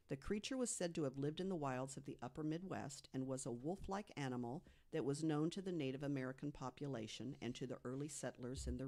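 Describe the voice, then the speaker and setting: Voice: medium pitch, a little mellow. A podcast panelist reading prepared mystery stories aloud, with conversational asides.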